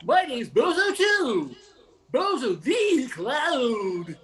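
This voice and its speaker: Older guy doing cocky jokester diner customer, real slow, voice swoops all over, hammy radio play. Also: extremely high pitch, huge pitch swings, fairly bright tone, full character voice. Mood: jokey, clowning. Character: arrogant, nosy male diner customer and joking clown. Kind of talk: amateur radio play acting.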